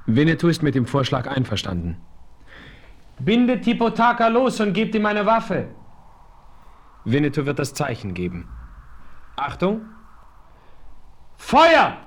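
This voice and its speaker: An actor playing a calm, commanding chief who talks about himself in the third person in a radio play. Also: male voice, a little high, a little slow, pauses often, full character voice. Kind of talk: 1970s radio play acting.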